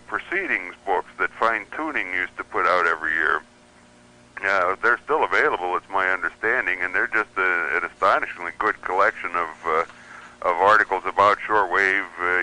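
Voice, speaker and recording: A man's voice: low voice, pushed and bright, smooth, rough recording. A man speaking as a guest on a radio talk show, hesitant and full of fillers and restarts. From United States. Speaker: guest radio receiver reviewer. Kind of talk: casual interview chat.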